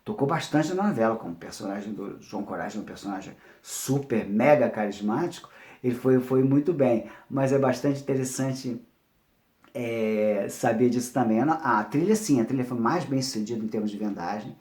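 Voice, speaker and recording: A middle-aged Brazilian man presenting informally, telling stories in an animated way and often rephrasing himself. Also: mid-range voice, moderate pace. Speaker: presenter, music producer. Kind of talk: informal talk on music history. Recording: roomy, echoing sound.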